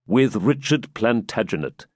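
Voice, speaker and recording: A man's voice: mid-range voice, sing-song, moderate pace, darkish tone, no room sound, studio quality. A man voicing a grim, battle-worn medieval knight with solemn, emphatic archaic speech. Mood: insistent.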